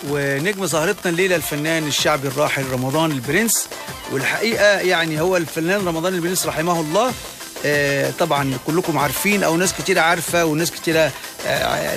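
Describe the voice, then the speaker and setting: A man hosting a radio show, interviewing callers by phone in quick, restless talk full of fillers and restarts. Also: a little high. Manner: fast, animated, many fillers and restarts. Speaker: radio host.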